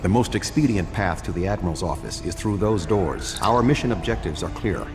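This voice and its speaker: A man playing an older, composed alien admiral in a video game, formal, measured and unemotional. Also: sits low, lively intonation, music in the background. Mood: calm, grave.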